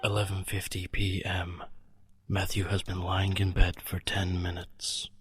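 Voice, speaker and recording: An actor playing a grave, deadpan narrator in a horror audio drama. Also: male voice, low voice, unhurried. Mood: grave, detached. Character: grave, clinical male narrator logging a sleepless night. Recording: loud music under the voice. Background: music.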